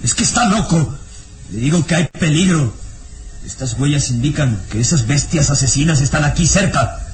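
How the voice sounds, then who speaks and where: An actor playing a frightened, anguished guide doomed to turn beast, with dramatic, ominous urgency in a radio serial. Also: male voice, a little high, lively intonation, normal speed, slight background noise, close to an everyday voice. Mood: agitated, pleading. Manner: dramatic, agitated, ominous repeated warnings. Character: terrified male guide turning into murderous beast, anguished. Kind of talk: radio serial melodrama acting. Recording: radio drama with loud music behind.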